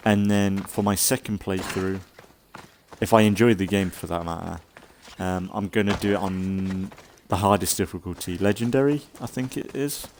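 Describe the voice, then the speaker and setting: A man giving casual, rambling commentary as a let's play host, thinking aloud in a halting way and sounding slightly congested. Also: low-pitched, darkish tone, slight background noise. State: slightly congested from an oncoming cold.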